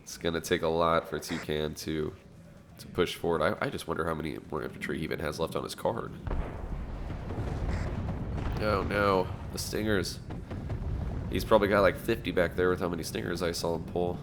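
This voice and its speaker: A man commentating a strategy game match, sounding nasal from allergies, reacting excitedly to the action. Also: low-pitched, noisy background. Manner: excitable, fast reactions and laughs. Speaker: gameplay commentator.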